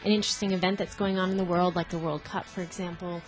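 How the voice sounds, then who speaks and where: A woman's voice: lowish voice, little pitch movement, quick pace, soft background music. A woman guest in casual studio chat, upbeat and explaining at length. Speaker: guest co-host of another show. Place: broadcast studio.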